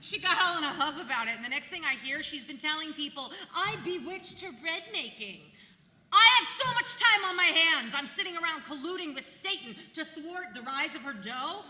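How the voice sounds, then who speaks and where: A woman's voice: sits high, animated, moderate pace, sharp tone, exaggerated voice. A woman in a staged play reading, playing a bawdy, weary seventeenth-century village housewife with a mocking, emphatic way of talking. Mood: indignant, mocking. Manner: mocking, irreverent, emphatic storytelling. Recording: echoey hall, distant, noisy room tone.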